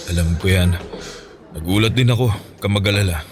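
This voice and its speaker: A man with a Filipino accent playing a calm, teasing, henpecked middle-aged father in a radio drama. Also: low voice, normal speed, crowd noise, close to an everyday voice. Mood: amused, calm.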